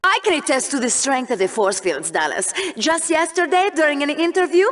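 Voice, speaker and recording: An actress playing a gleefully sadistic co-anchor of a combat TV show in a video game, with polished presenter delivery and menacing relish. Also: female voice, high-pitched, animated, a little fast. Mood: gleeful cruelty. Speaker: sports show co-host. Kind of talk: mock newscast commentary.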